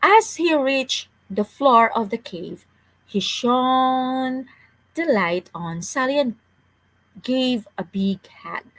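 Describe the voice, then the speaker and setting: A woman's voice: high voice, lively intonation, slow pace, fairly bright tone, dry recording, low-quality recording. A woman reading a children's story aloud as a storyteller, with careful delivery and the odd stumble over words.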